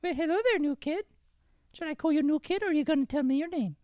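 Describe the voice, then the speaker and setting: Man doing a young Irish schoolgirl, high-pitched, forthright, friendly, radio comedy, dry sound. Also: fast talker, a little mellow, no echo. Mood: friendly, chatty. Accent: Irish, put on. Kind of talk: improvised old-time radio comedy play.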